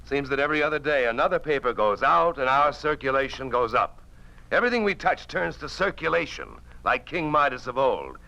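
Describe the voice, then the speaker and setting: A man's voice: mid-range voice, expressive, brisk, vocal fry, bright, forceful tone, dull audio. A man acting in a 1950s radio drama as a cynical, hard-drinking newspaperman with a sardonic, theatrical, mocking delivery.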